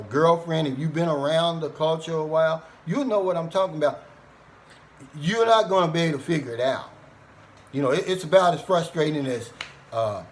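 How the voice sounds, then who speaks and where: A man's voice: on the high side, slight background noise. A middle-aged American man talking casually to his viewers in a folksy, earnest, plain-spoken way. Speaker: vlogger.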